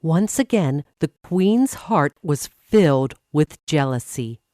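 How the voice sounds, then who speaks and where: A woman storyteller with a low voice reads a children's fairy tale. Her phrasing is careful and unhurried, with a very lively melody. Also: dry recording.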